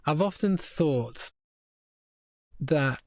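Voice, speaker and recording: A man's voice: fairly high pitch, animated, no echo, studio quality. A man from Italy with a faint northern English accent, a photographer guest talking in a casual interview with lots of restarts and fillers. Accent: English with a slight northern tinge. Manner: halting, many restarts and fillers.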